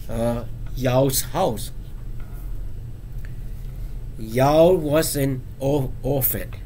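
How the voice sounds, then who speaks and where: A man reading a picture book aloud to children, with halting, simple phrasing and casual asides to check that listeners follow. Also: mid-range voice, darkish tone, poor audio. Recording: faint background noise.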